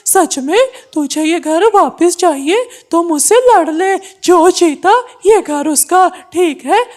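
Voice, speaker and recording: A woman's voice: very high voice, slow, darkish tone. A woman voicing a mean, stubborn male crow in a cartoon story, taunting and threatening. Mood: mocking.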